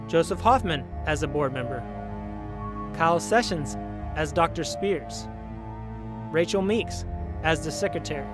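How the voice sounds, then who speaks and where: Higher-voiced male announcer over music. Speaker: host and announcer. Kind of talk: scripted audio drama credits. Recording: clear music bed behind voice.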